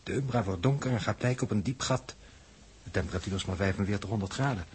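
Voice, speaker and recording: An actor playing a learned, precise space scientist in a 1960s Dutch radio drama, with a measured, didactic delivery. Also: male voice, lowish voice, a little hiss or hum, close to an everyday voice. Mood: lecturing.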